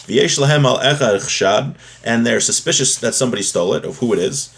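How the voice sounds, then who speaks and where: Male religious teacher, mid-pitched voice, reading and explaining a text, restating each point for clarity. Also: a little fast, vocal fry, fairly bright tone. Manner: explanatory, repeats and rephrases points. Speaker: religious teacher giving a daily class.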